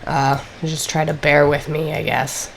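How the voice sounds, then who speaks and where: A woman with a notably low voice, still getting over a cold, talks through a software tutorial as the instructor. She explains step by step in a measured way, often pausing on "uh" and "basically". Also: little pitch movement, vocal fry, slight background noise, poor audio. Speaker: course instructor. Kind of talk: screen-recorded software tutorial.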